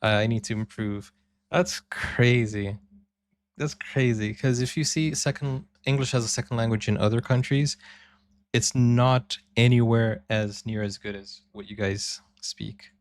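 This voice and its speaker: A man's voice: mid-range voice, fairly even intonation, measured pace, no room sound. A man hosting a reaction video, commenting casually and thinking aloud with fillers.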